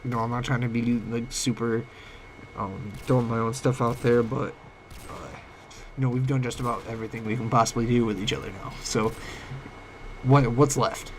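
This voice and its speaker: A young man with a cold and a cracking voice, talking about his life in a halting, rambling way full of fillers. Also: mid-range voice, fairly even intonation, a little hiss or hum, poor audio. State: sick, voice cracking. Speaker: vlogger. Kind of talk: late-night personal talk. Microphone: home microphone, uneven volume.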